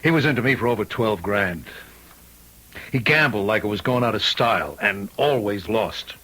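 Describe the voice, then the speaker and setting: An actor playing a gruff, shady restaurant owner in an old-time radio mystery, slangy and defensive. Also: male voice, fairly low pitch, expressive, moderate pace, pushed and bright, low-quality recording. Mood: grudging.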